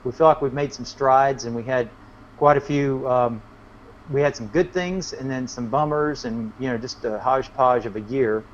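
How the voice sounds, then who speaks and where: A man of medium pitch chats casually as an interview guest. His manner is easygoing and folksy. The sound is muffled and low in fidelity, with a little noise behind it. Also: moderate pace. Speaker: guest, working musician. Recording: dull lo-fi connection, faint noise.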